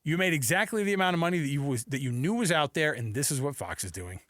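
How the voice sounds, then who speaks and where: Guy doing sports radio banter, voice a bit high, emphatic storyteller, dry close studio mic. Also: expressive, fast, a bit bright, no echo. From Texas and Seattle. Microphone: close studio microphone.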